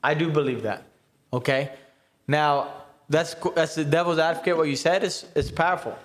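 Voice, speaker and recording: An American man hosting a casual round-table podcast, talking earnestly and emphatically and often checking in with a quick "right?". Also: fairly high pitch. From United States. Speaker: podcast host.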